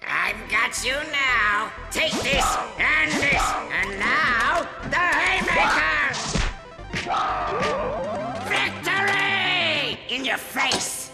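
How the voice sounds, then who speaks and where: An actor playing a theatrical, short-tempered megalomaniac robot villain in a video game, bombastic and shouting in an echoing room. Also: male voice, extremely high pitch, expressive, very slow, vocal fry, exaggerated voice. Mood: gloating. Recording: echoing room, loud music behind.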